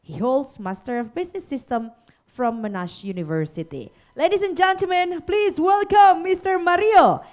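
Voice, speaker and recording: A woman's voice: extremely high pitch, animated, normal speed, a little mellow. A woman emcee giving a formal stage introduction, reading out a speaker's biography at a conference. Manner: announcing, reads bio aloud. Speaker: event emcee. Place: conference hall.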